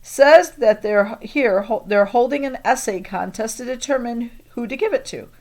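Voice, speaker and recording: A chatty school librarian from a children's storybook, played by a woman reader. She is an adult woman, eager to help, and speaks a little high in a friendly, talkative manner. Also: moderate pace, a little mellow, natural voice. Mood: interested, amused.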